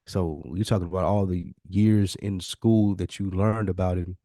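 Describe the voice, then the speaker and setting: An American man co-hosts a casual podcast in a fairly deep voice. He is plainspoken and blunt, often restating a phrase to drive it home. The recording is dry and free of echo. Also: steady tone, normal speed, dark tone. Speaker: podcast co-host. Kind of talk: casual discussion.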